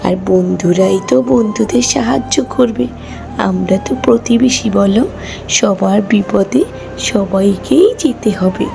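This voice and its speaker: A woman's voice: mid-range voice, measured pace, creaky, music in the background. A woman playing a caring, loyal village neighbour in a cartoon, speaking in an earnest, reassuring way.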